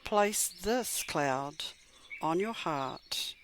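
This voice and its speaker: A woman guides a meditation in a somewhat low voice. She speaks very slowly and gently, leaving soothing pauses between phrases. Birdsong and clear background noise sit behind her. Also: animated. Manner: measured, gentle, soothing delivery with long pauses. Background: birdsong and chirping.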